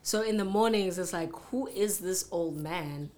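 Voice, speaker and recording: A woman with a deep, low voice talks things over in a relaxed chat. She sounds reflective and a little hesitant, and she often restarts and repeats words as she searches for her thoughts. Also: unhurried, crowd noise. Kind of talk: casual chat.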